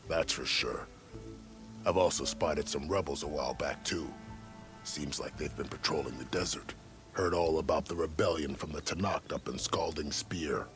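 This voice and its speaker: A very deep-voiced caravan scout. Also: male voice, a bit bright. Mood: informative, easygoing. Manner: folksy, matter-of-fact. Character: plain-spoken, seasoned caravan scout, male. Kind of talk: video game character acting.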